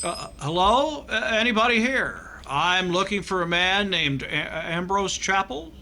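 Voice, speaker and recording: Man playing dithering, nervous radio-comedy doctor, animated delivery. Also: high voice, measured pace, background noise. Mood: hesitant. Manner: hesitant, stammering, dryly comic. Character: nervous, dithering American doctor and husband in comic thriller. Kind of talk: old-time radio comedy acting.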